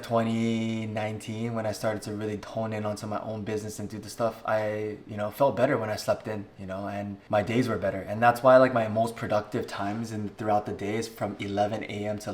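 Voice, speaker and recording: A young man from Hawaii vlogging unscripted, rambling earnestly with lots of "you know" and "like". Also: fairly low pitch. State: jet lagged. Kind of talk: unscripted casual vlog talk.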